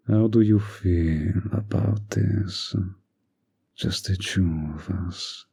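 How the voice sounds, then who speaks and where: Casting: seductive fantasy villain played by a man, deep and gravelly, manipulative, teasing a hero in romantic audio roleplay, often near a whisper. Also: mellow, heavily acted. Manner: slow, teasing, seductive, insinuating.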